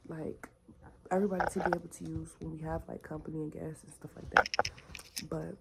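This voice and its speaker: A young woman vlogging from her bedroom in relaxed, rambling casual chat, full of slang and fillers. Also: low-pitched, a little fast, vocal fry, very noisy. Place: bedroom at home.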